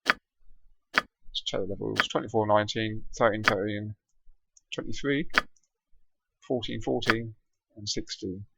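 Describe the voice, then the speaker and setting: A man's voice: lowish voice, steady tone, measured pace, gravelly, pauses often, lo-fi sound, heavy background noise. A man giving casual gameplay commentary as a let's play host, thinking aloud. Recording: loud game music behind voice. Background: game music and effects.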